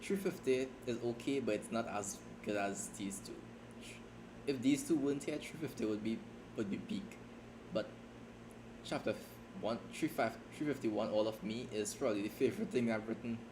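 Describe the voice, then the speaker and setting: A man with a lowish voice talks loosely and self-deprecatingly as he streams his writing session, often stopping to restart a thought. Steady typing sounds clearly from his keyboard underneath him. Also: noisy background. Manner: rambling, halting, self-deprecating. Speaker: streamer and fan fiction writer. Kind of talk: casual livestream chat while writing. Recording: keyboard typing audible behind voice.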